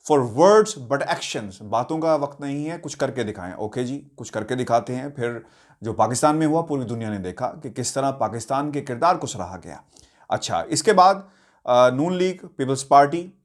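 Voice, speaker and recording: A man with a Pakistani accent hosting political news commentary, addressing viewers in a hesitant, halting way with deliberate emphasis on key words. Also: mid-range voice.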